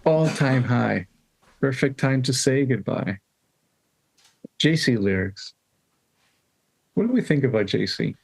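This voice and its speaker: A man co-hosting a podcast, chatting casually in a gravelly voice. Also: mid-range voice, lively intonation, takes it slowly, pauses often. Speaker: podcast co-host.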